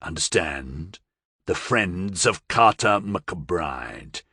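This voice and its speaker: A man voicing a big possessed man who speaks with slow, purring, cold menace. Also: low-pitched, very animated, gravelly, sharp tone, breathy edge, no echo, clean studio sound, voice pushed down, heavily acted. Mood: menacing.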